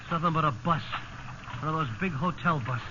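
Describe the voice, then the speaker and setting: An actor playing an excitable, fretful shipwrecked sailor, a man in a 1950s radio drama. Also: on the high side, fairly quick, lightly acted. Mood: bitter. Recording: muffled noisy old radio recording.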